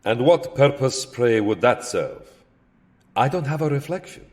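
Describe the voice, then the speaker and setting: A man's voice: medium pitch, expressive, measured pace, a little mellow. A man playing a centuries-old vampire count in a comedic audio drama, fussy, sardonic and theatrically wordy. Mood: sardonic, exasperated. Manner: theatrical, wry, fussily verbose.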